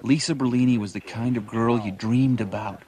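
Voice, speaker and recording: A man's voice: mid-range voice, steady tone, moderate pace, warm tone, natural voice. An American actor playing a grown man who narrates memories of his boyhood crush in a wry, nostalgic, dramatic way. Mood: dreamy. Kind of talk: scripted first-person narration.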